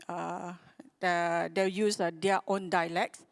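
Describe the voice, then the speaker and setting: A woman with a Malaysian accent giving a conference presentation, speaking haltingly and often searching for her words. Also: lowish voice, little pitch movement, vocal fry. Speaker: conference speaker from a public radio broadcaster.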